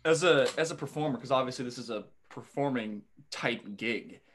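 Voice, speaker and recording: A man's voice: mid-range voice, moderate pace, fairly bright tone, a little hiss or hum. An American man hosting a podcast, chatting casually about sports as he interviews a guest. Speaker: podcast host.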